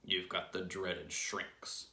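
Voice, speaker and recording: Man acting a gleefully cruel, forceful old husband. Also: low-pitched, little pitch movement, echoey room, full character voice. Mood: taunting. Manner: taunting, emphatic, drawn-out relish.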